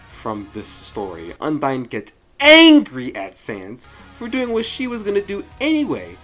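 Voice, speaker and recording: A man giving a casual, jokey review commentary as the host, with animated delivery and frequent asides. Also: medium pitch. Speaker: host of a fan story review show. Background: music.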